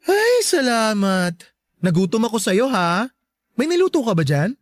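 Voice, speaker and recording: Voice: medium pitch, big pitch swings, measured pace, no echo, studio quality. An actor playing a playful, teasing, flamboyant best friend in a radio drama, with sassy Filipino delivery and theatrical exclamations. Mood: teasing, cheerful.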